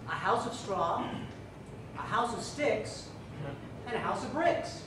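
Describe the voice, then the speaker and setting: Male contest speaker, humorous tall-tale delivery, lively and theatrical, recorded from afar in a very echoey room. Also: high voice. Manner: theatrical, with drawn-out punchlines. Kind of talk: humorous storytelling speech to a live club audience. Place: meeting room before an audience. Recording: echoey room from far off, loud noise.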